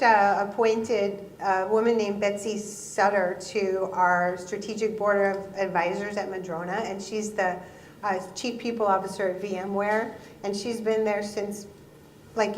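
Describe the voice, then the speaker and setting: A woman speaking as a panel guest and talent leader, chatty and quick to laugh at herself. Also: mid-range voice, far from the mic, a little hiss or hum. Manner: chatty, laughing, self-deprecating. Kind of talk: live panel talk. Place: event hall with audience. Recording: echoey hall.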